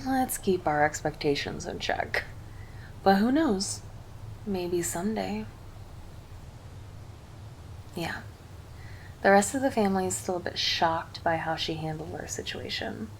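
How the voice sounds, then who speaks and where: A woman's voice: on the low side, measured pace, a little hiss or hum, rough recording. A woman playing a cheerful, affectionate succubus girlfriend in an ASMR roleplay, doting and teasing as she speaks intimately to her partner. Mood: calm, affectionate. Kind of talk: ASMR roleplay, scripted monologue to the listener.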